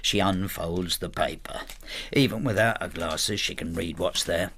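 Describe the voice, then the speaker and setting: An actor in a radio drama playing a plain-spoken working man in his sixties, telling his story in a measured, understated way. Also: low voice, fairly bright tone, a little hiss or hum. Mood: brooding, wry. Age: 60s. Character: retired working-class widower, plain-spoken, quietly bitter husband. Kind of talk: radio drama narration and dialogue.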